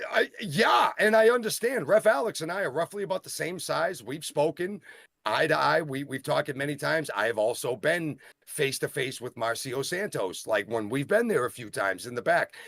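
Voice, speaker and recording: A man with a Boston accent co-hosting a casual wrestling review, talking in a rambling, excitable way and often cutting himself off. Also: mid-range voice, moderate pace, gravelly, no room sound, studio quality. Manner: rambling, self-interrupting, excitable. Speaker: wrestling show co-host.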